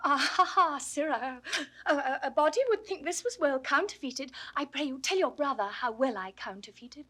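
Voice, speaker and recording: A woman's voice: sits high, animated, moderate pace, sharp tone. A woman playing a spirited, flustered young heroine disguised as a boy in 1960s recorded classical theatre, theatrical and defensive. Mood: faint, flustered.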